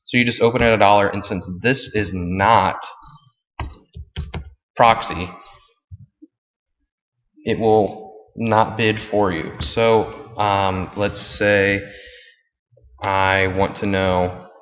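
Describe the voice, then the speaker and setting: Guy with a deep voice doing an informal how-to walkthrough, really reverby room. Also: fairly even intonation, slow, long pauses. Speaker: fantasy football league commissioner. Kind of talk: casual screen-share tutorial, explaining step by step. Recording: muffled, boomy room with long reverb.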